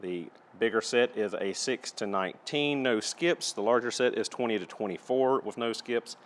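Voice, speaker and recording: A man's voice: on the low side, normal speed, slight background noise. A man giving a casual, matter-of-fact and methodical product review as he presents tools.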